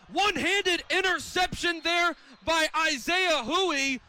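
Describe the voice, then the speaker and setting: High-pitched young male football play-by-play announcer. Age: 20s. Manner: animated, builds from clipped calls to excited shouting. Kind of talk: live American football radio commentary. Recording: stadium crowd behind the commentary. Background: stadium crowd.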